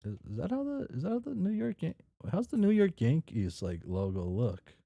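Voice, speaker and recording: A man's voice: fairly high pitch, wildly swooping pitch, moderate pace, gravelly, mellow, no echo, highs cut off. A man casually chatting and reading aloud in an offhand way, sometimes stumbling over words as the host of a read-along stream. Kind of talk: casual chat while drawing and reading a story aloud.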